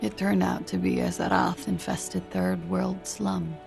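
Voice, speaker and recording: An actress playing a world-weary, evasive woman in a detective video game, dry, laconic and sardonic, with a very creaky voice. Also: on the low side, flat delivery, measured pace, over music.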